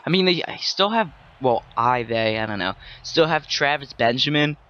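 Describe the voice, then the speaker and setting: A man's voice: mid-range voice, lively intonation, moderate pace, bright, forceful tone, lo-fi sound. A man giving casual commentary over his own gameplay, rambling and often correcting himself. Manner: rambling, with frequent self-corrections. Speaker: gaming channel host.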